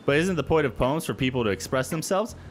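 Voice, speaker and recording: A man hosts a gaming video, mixing casual commentary with dialogue read aloud. His medium-pitched voice is very animated, and game music plays behind him. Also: fast talker. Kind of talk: casual let's-play commentary and dialogue reading.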